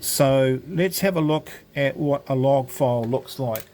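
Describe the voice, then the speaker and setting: A man's voice: medium pitch, a little slow, mellow, a little hiss or hum. A man presents an unscripted gadget demonstration in a mid-range voice. He explains things casually and a little hesitantly, going one step at a time. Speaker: presenter of an amateur radio gadget demo. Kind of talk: informal product demonstration, unscripted tutorial.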